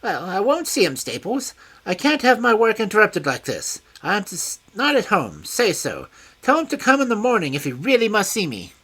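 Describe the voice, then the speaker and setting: Shrill screechy little old guy, peevish and bossy, voice leaping all over, kinda husky. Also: wildly swooping pitch, moderate pace, a bit bright, exaggerated voice. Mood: petulant. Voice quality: high, shrill, screaming voice. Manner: peevish, imperious outbursts. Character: small frail petulant scheming older man.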